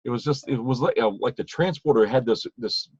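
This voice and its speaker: A man's voice: mid-range voice, fairly quick, slightly rough, no echo. A man co-hosting a podcast, chatting casually in a loose, rambling way, on slightly dull lo-fi audio. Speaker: podcast co-host. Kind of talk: casual chat.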